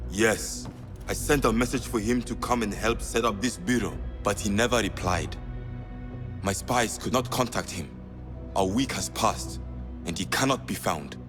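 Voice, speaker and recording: A man playing a cultured, poetic spymaster in a video game, speaking in a measured way. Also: lowish voice, music in the background, lightly acted. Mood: grave. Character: cultured, poetic male spymaster of assassins' bureau. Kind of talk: video game cutscene acting.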